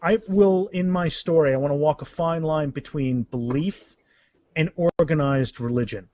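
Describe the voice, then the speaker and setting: A man's voice: on the high side, moderate pace, a little mellow, no echo. A man talking casually as an author in a podcast interview, often restarting and repeating words. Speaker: author being interviewed about their fantasy series.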